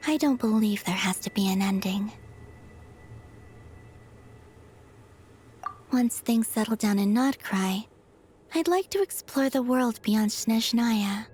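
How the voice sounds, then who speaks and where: A woman voicing a gentle, wistful young moon goddess in a game cutscene, speaking softly and sincerely in a measured, breathy way. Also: a little high, slight background noise, lightly acted. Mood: warm, fond.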